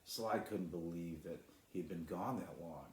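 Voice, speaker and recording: Male storybook narrator, author reading aloud, low register, strong room echo. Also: measured pace. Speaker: author reading his own children's book. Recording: echoey room.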